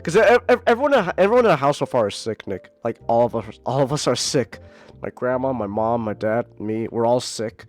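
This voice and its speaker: A man's voice: mid-range voice, lively intonation, fairly quick, faint music behind. A man hosting a casual podcast, stuffed up and sick, rambling with stumbling restarts and casual swearing. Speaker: podcast host.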